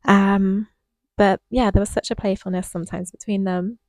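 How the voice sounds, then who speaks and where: A woman co-hosting a casual dance review, talking thoughtfully with frequent pauses and a slightly croaky voice. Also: mid-range voice, flat delivery, darkish tone, no echo. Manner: thoughtful, hesitant with frequent ums.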